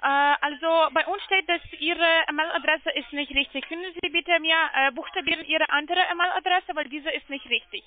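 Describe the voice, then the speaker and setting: A woman with a foreign accent speaks in an extremely high voice, halting yet insistent, like a call-centre agent. She comes through a tinny, dry phone line. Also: fairly even intonation, dry recording. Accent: foreign, non-German. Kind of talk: phone customer-service talk.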